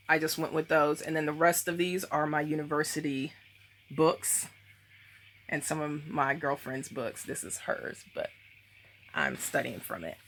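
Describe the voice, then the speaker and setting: A woman's voice: low-pitched, creaky, a bit bright, a little hiss or hum. A woman in her thirties talking casually on camera at home, rambling with lots of ums and likes. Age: 30s. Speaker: vlogger. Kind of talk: casual book review vlog.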